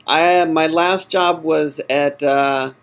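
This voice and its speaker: A man answering as a job candidate in an informal interview, halting and often correcting himself. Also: on the high side, steady tone, fairly bright tone, clean tone. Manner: halting, hesitant, self-correcting. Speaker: job candidate in user experience design.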